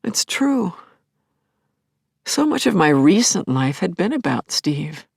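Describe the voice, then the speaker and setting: A woman's voice: on the low side, big pitch swings, takes it slowly, creaky, darkish tone, breathy edge, no echo, studio quality, heavily acted. An actress playing a grieving middle-aged widow in an audio play, telling her story in a searching, intimate way that can drop toward a whisper. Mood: wistful, subdued. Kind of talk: dramatic first-person monologue, audio play.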